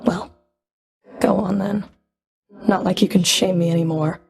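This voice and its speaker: A woman playing a cold, proud Fury of the underworld in a video game, dry and cutting, with a creaky edge to her voice. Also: lowish voice, fairly even intonation, unhurried, darkish tone, exaggerated voice. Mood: bitter, defensive. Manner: dry, cutting, haughty, controlled. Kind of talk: video game character voice lines.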